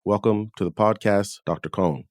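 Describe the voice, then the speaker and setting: A man's voice: fairly low pitch, moderate pace, no echo, studio quality. A man hosting a podcast, reading scripted introductions and putting questions to a guest. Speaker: podcast host and interviewer.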